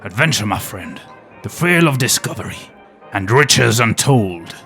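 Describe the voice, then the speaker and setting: A man's voice: fairly low pitch, very animated, measured pace, bright, forceful tone, soft background music, full character voice. A man playing an aging former nature documentary host with a wry, world-weary, conspiratorial manner. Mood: sly. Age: older.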